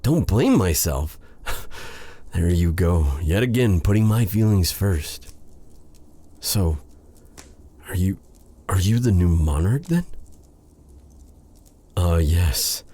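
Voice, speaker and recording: A man playing a lovestruck, self-doubting exiled dark sorcerer in an intimate fantasy audio roleplay, breathy, halting and formal in his phrasing. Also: sits low, expressive, heavily acted. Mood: wistful, gentle. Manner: flustered, stammering, halting, formal old-fashioned phrasing.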